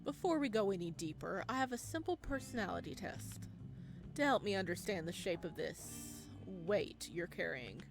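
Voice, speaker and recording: A woman voicing a calm, probing male therapist in a visual novel, measured and clinical, with a quietly ominous temper. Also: medium pitch, gravelly. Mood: calm, insistent. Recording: music playing behind the voice.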